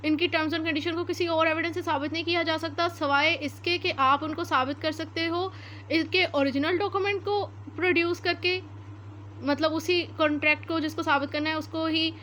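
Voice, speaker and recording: A very high-voiced woman with a Pakistani accent teaches like an exam-prep lecturer. She is methodical, explaining point by point and switching in English terms. The recording is amateur, with faint noise behind her. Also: steady tone, pushed and bright. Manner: methodical, reads formal text slowly then explains it point by point; mixes English terms into Urdu. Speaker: law lecturer.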